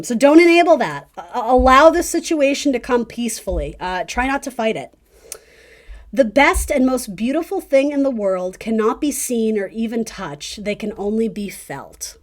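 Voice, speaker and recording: Woman w a higher voice doing a casual tarot reading, chatty and encouraging, talks right to you. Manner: conversational, encouraging advice addressed directly to the listener. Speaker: tarot reader and video host.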